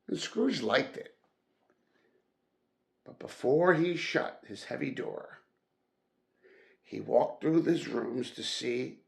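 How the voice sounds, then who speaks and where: A man narrates a storybook aloud at an extremely slow pace. His mid-range voice has a clear creak and fry to it. He phrases deliberately and theatrically, leaning hard on chosen words. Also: medium pitch. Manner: deliberate, dramatic phrasing with emphatic stresses. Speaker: audiobook-style reader.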